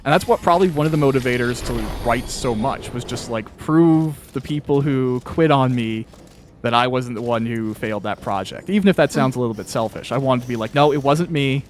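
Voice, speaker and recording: A man speaks in a casual interview style at an average pitch. He rambles a little, candid and reflective about himself, and faint noise sits behind his voice. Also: brisk. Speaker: author and interviewer.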